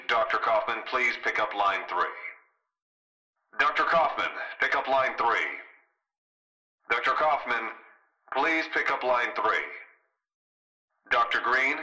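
A man's voice: mid-range voice, animated, a little slow, long pauses, pushed and bright. A man playing an impersonal facility announcer in a video game, making formal, clipped pages over a public address system. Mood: businesslike. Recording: echoing public address radio.

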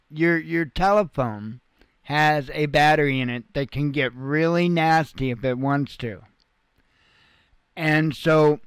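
A man's voice: a little high, clear, resonant tone, no echo. A man giving informal news commentary as a channel host, explaining things in a folksy, plain-spoken way.

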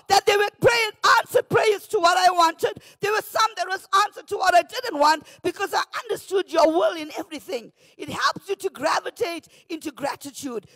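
A woman pastor with a South African accent, preaching a morning devotion from a church pulpit into a handheld mic, often shouting, then dropping to a hush. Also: extremely high pitch, no room sound, rough recording. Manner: fervent, often shouting, swings from loud to hushed. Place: church stage behind a pulpit. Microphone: handheld microphone.

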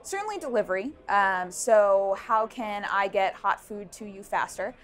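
Young female founder, lapel-miked at a busy event; thoughtful, halting delivery with frequent ums. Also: medium pitch, animated. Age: young adult. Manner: thoughtful, many ums and restarts. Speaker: startup founder. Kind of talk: interview answers. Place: busy indoor networking event. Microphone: lapel mic.

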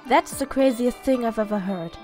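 A young female pony , played by a woman, talks in a fairly high voice. She is the skeptical, caring friend in an audio story, with soft music behind her. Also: normal speed, natural voice. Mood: skeptical, amused. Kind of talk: audio story character dialogue.

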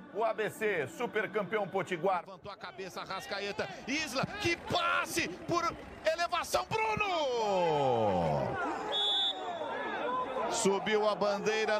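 A man with a Brazilian accent giving excited live football commentary, shouting goal calls over rapid play-by-play. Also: extremely high pitch. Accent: Brazilian Portuguese.

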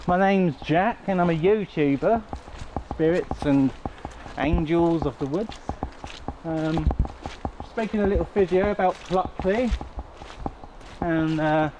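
A man's voice: a little high, unhurried, pauses often. A man chatting casually as a vlog host in a hesitant, self-interrupting way, recorded on a handheld camera outdoors. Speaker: YouTuber host. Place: outdoors in muddy woods. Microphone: handheld camera while walking. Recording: noisy outdoor camera audio.

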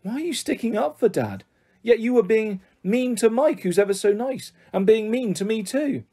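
A woman's voice: mid-range voice, sing-song, fairly quick, warm tone, husky, pitched above the performer's own voice. A woman voicing a stubborn, pleading, emotional young girl in a storybook. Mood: indignant.

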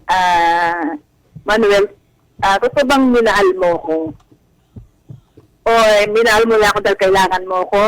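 Very high-pitched Filipino man calling in. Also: a little slow, long pauses, fairly bright tone, smooth, no echo, poor audio. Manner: polite, deferential. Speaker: call-in guest. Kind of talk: hesitant personal confiding.

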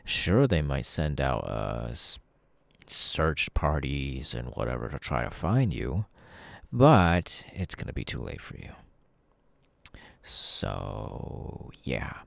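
A man's voice: extremely low pitch, sing-song, takes it slowly, gravelly, dry recording, heavily acted. A man playing a smug, sadistic masked killer in an ASMR audio roleplay, taunting in a menacingly casual, mocking way. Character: sadistic masked young male killer, smug, gleeful cult henchman.

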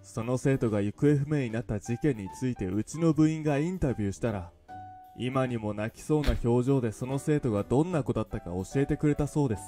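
A polite, earnest school club president from a visual novel, acted by a man, who happens to be an eel. He explains things formally and at a quick clip, with music playing behind him. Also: mid-range voice, warm tone, lightly acted. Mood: earnest, explaining. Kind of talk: visual novel character acting.

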